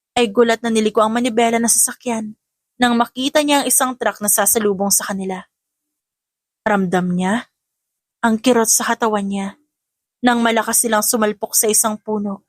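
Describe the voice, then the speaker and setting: A woman narrates a scripted romantic drama as an audiobook reader. Her voice sits at a medium pitch. The recording is dry, with very little room sound. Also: steady tone, normal speed, pauses often, no echo.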